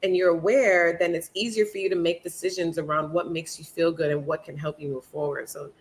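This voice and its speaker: A woman's voice: lowish voice, fairly even intonation, fairly quick, poor audio. A woman from Jamaica, co-hosting a podcast in casual chat, talking freely with many "like" fillers.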